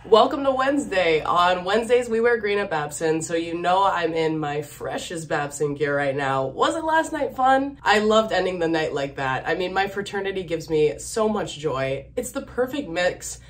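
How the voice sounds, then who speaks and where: A woman's voice: fairly low pitch, animated, normal speed, hardly any pauses. A young woman in her twenties, a student, chatting upbeat to her phone camera in a dorm room. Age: 20s. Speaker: student vlogger. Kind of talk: casual vlog narration.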